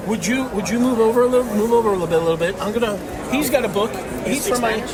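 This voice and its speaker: Male producer, brisk and directive, high-pitched, heavy crowd noise. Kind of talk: directing the set. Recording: loud crowd chatter behind.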